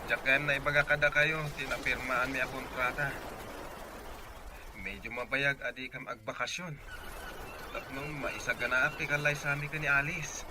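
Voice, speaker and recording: A man's voice: medium pitch, steady tone, normal speed, close to an everyday voice. A man playing an affectionate, dutiful young sweetheart and son in an Ilocano audio drama. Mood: earnest. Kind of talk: Ilocano radio-style drama acting. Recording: clearly noisy background.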